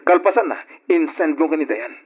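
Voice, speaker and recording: A muffled radio drama recording carries an anguished young man with an Ilocano accent. His fairly high, husky voice reproaches in drawn-out, emotional phrases, wounded by betrayal. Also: lively intonation. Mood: angry, accusing.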